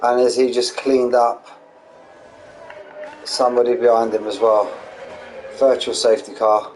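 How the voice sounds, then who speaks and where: A young man with a hoarse, fading voice, calling an online sim race live with quick, excitable play-by-play and chatty asides. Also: mid-range voice. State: losing his voice, hoarse. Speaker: sim racing league commentator. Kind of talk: live race commentary streamed. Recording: noisy streamed audio.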